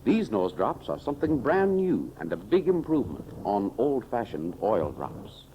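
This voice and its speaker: Male 1930s serial announcer. Lively swings of pitch, emphatic scripted delivery, faint hiss of an old broadcast recording. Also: normal speed, highs cut off. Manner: emphatic, declamatory. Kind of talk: 1930s radio announcing, scripted narration and advertising. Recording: hissy 1930s radio recording.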